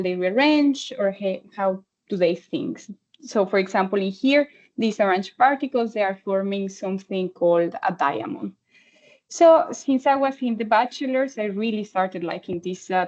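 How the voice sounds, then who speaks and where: A woman from Mexico speaks with a Mexican Spanish accent, presenting her research over a video call. She talks in a friendly, halting way, filling pauses with ums and uhs. The sound is a little dull and lo-fi. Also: medium pitch. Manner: friendly, halting, many fillers. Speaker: postdoctoral researcher giving a testimonial. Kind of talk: online presentation.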